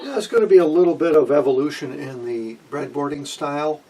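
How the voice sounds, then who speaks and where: A man in his sixties presenting an informal technical explanation in a halting way, with frequent pauses, in a small room at home. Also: fairly high pitch, distant mic, music in the background. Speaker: presenter of a vintage radio electronics channel.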